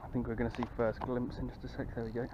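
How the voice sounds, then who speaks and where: Man, 30s, lakeside fishing vlogger, chatty and excited; dull camera sound, loud wind behind. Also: medium pitch, racing. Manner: excited, chatty, talks to viewers. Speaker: angler vlogger. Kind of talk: casual fishing commentary. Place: windy lakeside outdoors. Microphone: action camera. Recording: lo-fi camera audio with wind noise.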